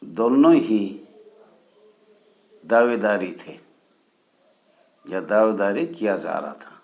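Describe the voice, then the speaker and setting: A man from India hosting a channel, explaining history in a deliberate, halting way with short phrases and formal honorifics. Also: fairly low pitch, animated, creaky, a little mellow. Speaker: channel host. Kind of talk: spoken history explainer for viewers.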